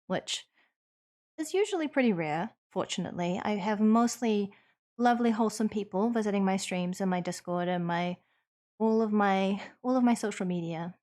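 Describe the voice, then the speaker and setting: A woman's voice: mid-range voice, darkish tone. Earnest New Zealand woman streamer. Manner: earnest, reassuring, thoughtful with frequent ums. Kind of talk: casual chat answering viewers.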